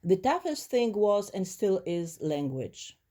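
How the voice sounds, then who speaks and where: A woman's voice: mid-range voice, very animated, slow, warm tone. Polish-accented woman, reflective and earnest. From Poland. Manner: earnest, reflective, slightly non-native phrasing. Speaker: interviewee, first-generation immigrant. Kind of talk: thoughtful interview answers.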